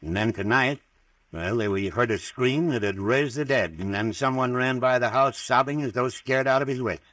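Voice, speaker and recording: A man's voice: medium pitch, normal speed, fairly bright tone, dry recording, close to an everyday voice. An actor playing a folksy, superstitious small-town doctor in an old-time radio drama, rattled and stubborn, telling his story in hesitant rural phrases. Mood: earnest recounting. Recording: vintage radio recording, slightly distant.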